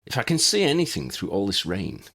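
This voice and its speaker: A man's voice: lowish voice, huge pitch swings, a little fast, fairly bright tone, slightly rough, heavily acted. A man voicing a cool, observant male wizard professor in an audiobook, clipped and matter-of-fact. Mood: dry.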